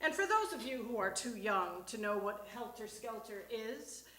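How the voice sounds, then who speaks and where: A woman performing a comic personal monologue on stage, in a halting, conversational way with dramatic pauses. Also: on the high side, expressive, bright, forceful tone, slight background noise. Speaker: reader at a live storytelling show. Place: small theatre stage. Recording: echoey live stage recording.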